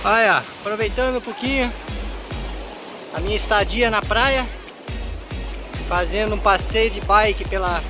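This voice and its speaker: A man's voice: sits high, a little slow, long pauses, music in the background. A man, upbeat and enthusiastic, talking while riding a bike along a beach, recorded outdoors on an action camera.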